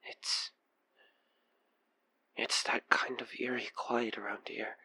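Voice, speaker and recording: A man playing an obsessed, manipulative killer who coaxes the listener in an intimate audio roleplay, with halting, breathy delivery that slips into whispers. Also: mid-range voice, flat delivery, bright, forceful tone, full character voice. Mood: scared, shaken. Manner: hesitant, halting, breathy sighs and whispers sliding into menace. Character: obsessed male killer, coaxing and manipulative, feigning frightened innocence.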